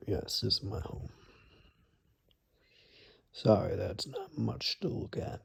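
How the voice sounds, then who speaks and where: A man playing a gruff, protective forest dweller in an ASMR roleplay, speaking softly and huskily in a hesitant murmur, often whispering. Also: on the low side, wildly swooping pitch, slow, heavily acted. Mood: cautious, warning. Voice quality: soft, breathy, husky, often whispered.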